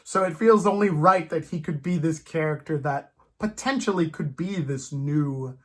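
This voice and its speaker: A man chatting casually about films as a host, in an animated, rambling fan's way. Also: fairly high pitch, moderate pace.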